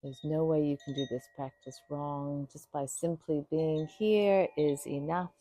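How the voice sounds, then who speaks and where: A woman guiding a meditation in a soothing, unhurried way, speaking in short phrases with pauses between them. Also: deep voice, steady tone, warm tone. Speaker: yoga nidra meditation guide. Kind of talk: guided meditation.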